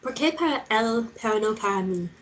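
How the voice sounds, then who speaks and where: A woman's voice: a little high, lively intonation, fairly quick, close to an everyday voice, rough recording. A woman playing a determined, stubborn journalist in a drama read-through, earnest and persistent in her way of talking. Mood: probing. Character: determined female journalist, pleading yet stubborn.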